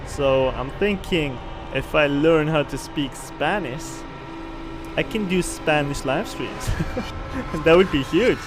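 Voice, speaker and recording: Chatty man with a higher voice. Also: lively intonation, takes it slowly, music in the background. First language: German. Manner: rambling, self-correcting, chuckles often. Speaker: streamer. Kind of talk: casual chat while gaming on stream.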